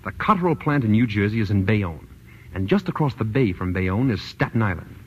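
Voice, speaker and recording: An actor playing a brash, hot-tempered racketeer, curt and bullying, in a 1940s-style radio drama. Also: male voice, fairly low pitch, lively intonation, fairly quick, slightly rough. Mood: impatient scheming. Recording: dull old radio recording.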